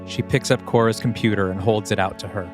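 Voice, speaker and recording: A man's voice: on the low side, fairly even intonation, fast talker. A man reading scripted stage directions as the narrator of a dramatic audio play, with music behind him.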